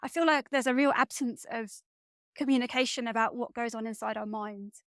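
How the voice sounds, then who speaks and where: A woman speaking as a guest author in a reflective interview, thoughtful and often pausing to search for her words. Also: fairly high pitch, fairly bright tone, no echo, clean studio sound.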